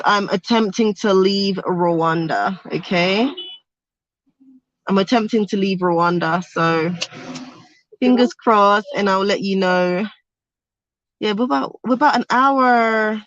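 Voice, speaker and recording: A woman's voice: medium pitch, slow, pauses often, dry recording, highs cut off. A young woman chatting casually on a livestream from a car, reading viewer comments aloud. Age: young adult. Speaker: vlogger. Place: inside a car on a road trip.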